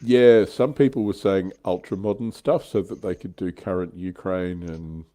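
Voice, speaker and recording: Deep, mellow middle-aged man chatting. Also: moderate pace. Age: middle-aged or older. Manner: tongue-in-cheek, bantering. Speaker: livestream co-host. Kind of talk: casual panel chat about tabletop wargaming.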